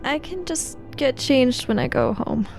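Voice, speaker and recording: A woman banters casually while role-playing in a tabletop game. Her voice is high and animated yet unhurried, with dry teasing and faint music behind it. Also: gravelly.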